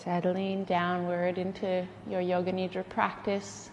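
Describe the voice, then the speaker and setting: A woman leading a guided yoga nidra relaxation, speaking in a soothing, gentle way with unhurried pauses. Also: on the low side, completely flat delivery, soft background music. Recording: long reverberant hall, faint background noise.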